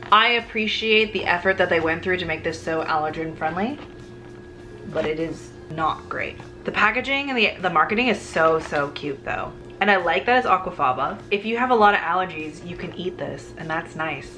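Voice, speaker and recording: A woman in her thirties chats candidly to a close-up camera in her home kitchen. Her voice sits a little low for a woman, and her talk is loose and full of "like". She sounds unscripted and frank. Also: lively intonation, normal speed, bright, forceful tone, soft background music, poor audio. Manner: candid, chatty, frequent "like" fillers. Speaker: food vlogger. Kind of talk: casual grocery haul chat. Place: home kitchen in front of the fridge. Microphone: camera on a counter, close framing.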